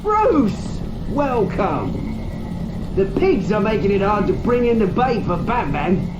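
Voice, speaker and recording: British game villain, sneering and taunting, vengeful crime lord, highly animated pitch, echoey, loud music underneath. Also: male voice, slow, lo-fi sound, exaggerated voice. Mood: gloating, taunting. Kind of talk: dramatic video game voice acting.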